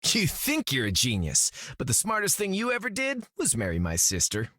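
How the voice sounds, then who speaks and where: Cocky, animated young hotshot. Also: male voice, high-pitched, moderate pace, no echo, studio quality, heavily acted. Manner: breezy, teasing, slangy. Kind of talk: video game voice acting.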